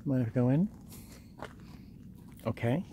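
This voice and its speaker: Slow-talking American man vlogging outdoors. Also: mid-range voice, warm tone. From Rhode Island, United States. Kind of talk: casual vlog chat, thinking aloud while exploring. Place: outdoors and inside small huts at a tourist site. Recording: outdoor ambient noise, handheld camera.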